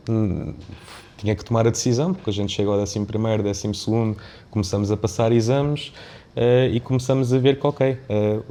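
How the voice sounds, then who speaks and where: Mellow-voiced man with European Portuguese accent. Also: medium pitch. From born in Mozambique, of Indian family background. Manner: discursive, often checks with "não é?". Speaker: podcast guest, business founder and CEO. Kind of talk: reflective conversational interview.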